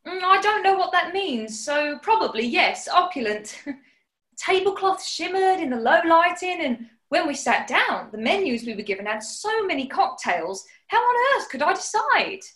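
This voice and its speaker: A woman's voice: very high-pitched, sing-song, moderate pace, fairly bright tone, reverberant, full character voice. A woman playing a plucky, naive English housemaid with an English accent in a 1940s-style radio comedy. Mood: wide-eyed, wondering.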